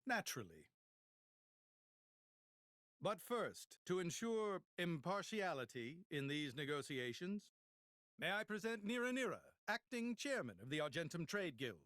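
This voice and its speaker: An actor playing a dignified high priest and statesman in a game, formal and ceremonious. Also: sing-song, a little slow, fairly bright tone, dry recording, exaggerated voice. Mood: formal, gracious. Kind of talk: dramatic game cutscene dialogue.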